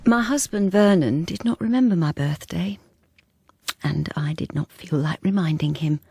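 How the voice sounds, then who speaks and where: An actress playing a timid, self-effacing, lonely British wife in a radio drama, confiding in a plain, hesitant, apologetic way. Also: female voice, fairly low pitch, normal speed, vocal fry, warm tone, husky, dry recording, clean studio sound. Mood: wistful confiding. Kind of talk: radio drama with intimate first-person narration.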